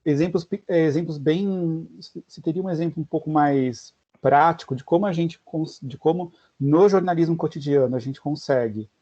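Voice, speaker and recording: A young man with a Brazilian accent asks a long, earnest question, hunting for words and restarting with "é" and "né". His voice is mellow and dark, and the call audio is dry and dull, with no room around it. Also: fairly high pitch, normal speed, few pauses, no echo. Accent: Brazilian Portuguese. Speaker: journalist and master's student asking a question. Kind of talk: seminar question over video call. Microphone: video call.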